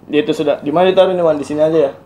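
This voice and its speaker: A man's voice: fairly high pitch, fairly even intonation, very fast, dark tone. A man with a Kendari, Southeast Sulawesi Indonesian accent, hosting a casual interview in a chatty, teasing way and repeating phrases for emphasis. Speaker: YouTube interviewer and host. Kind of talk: casual, joking interview chat.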